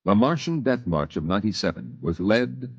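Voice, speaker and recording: A man narrating a 1950s radio drama as a grown man recalling his youth, in a measured, reflective way. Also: fairly low pitch, big pitch swings, husky, no room sound, full character voice. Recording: dull, muffled old radio broadcast.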